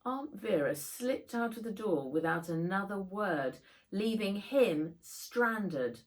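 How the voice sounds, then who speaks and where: A woman narrates a children's audiobook. She takes her time over each phrase, and her pitch moves in a lively, expressive way. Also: slow pace. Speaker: author reading own book.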